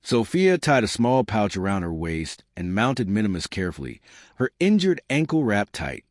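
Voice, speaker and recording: A man's voice: fairly low pitch, big pitch swings, moderate pace, dry recording, clean studio sound. A man reading a children's fairytale as a scripted, storybook narration.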